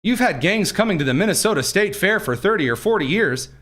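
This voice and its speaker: A man from Indiana hosts a commentary stream with mocking sarcasm. His voice runs high and swoops all over the place. He fires off quick, scornful asides. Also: lively intonation, fairly bright tone. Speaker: host.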